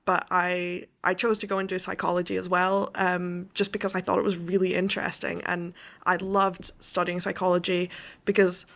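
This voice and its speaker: A woman's voice: lowish voice, little pitch movement, moderate pace, gravelly, fairly bright tone. A young woman from the west coast of Scotland, an author telling her story as an interview guest, thoughtful and detailed.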